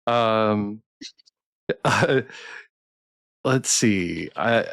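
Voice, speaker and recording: A man's voice: fairly low pitch, drawn-out words, vocal fry, a bit bright, no echo. Dry-recorded man hosting a casual stream. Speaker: stream host, interviewer. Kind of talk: casual livestream interview.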